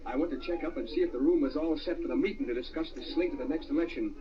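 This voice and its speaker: A man playing a deferential, uneasy henchman with rough grammar in an old radio crime drama, on a muffled recording. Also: a little high, very fast, recorded from a distance, natural voice. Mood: reporting, wary. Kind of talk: 1940s radio drama acting.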